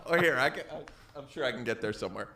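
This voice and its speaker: A man co-hosting a podcast with casual, jokey and animated banter. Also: medium pitch, quick pace. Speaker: podcast co-host.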